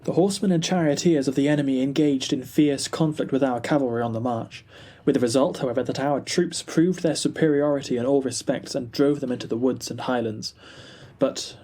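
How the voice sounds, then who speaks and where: A young man narrates a historical war account in a formal, deliberate way. His voice is mellow and husky, with a medium pitch, as he gives a dramatic reading. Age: young adult. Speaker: student reader.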